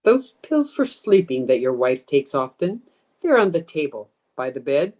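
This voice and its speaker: A woman's voice: low voice, sing-song, warm tone. A woman playing a menacing, commanding undead ghost hungry for blood, in old time radio horror style. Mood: coaxing, commanding. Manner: slow, commanding, taunting. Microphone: video call.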